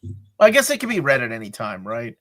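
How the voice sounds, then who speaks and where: A man's voice: medium pitch, a little fast. A man on a comics podcast panel, chatting casually and asking the others questions, with many fillers. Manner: chatty, lively, asks questions, many likes and ums. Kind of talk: casual chat.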